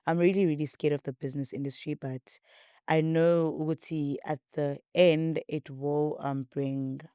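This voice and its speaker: A young South African woman with a deep, warm, mellow voice, chatting to her listeners in a rambling, repetitive way. Her voice is recorded dry and close, with no room sound. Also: smooth, no room sound. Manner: rambling, repetitive, chatty, says she talks a lot. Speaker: podcast host. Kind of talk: casual chat to viewers.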